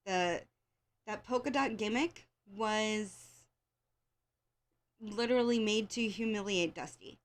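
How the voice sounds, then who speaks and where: Slightly congested American woman chatting casually. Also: mid-range voice. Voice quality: slightly congested, scratchy throat from allergies. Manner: opinionated, informal fan talk with repeated phrases. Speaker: host of a wrestling show. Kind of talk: casual rambling chat to listeners.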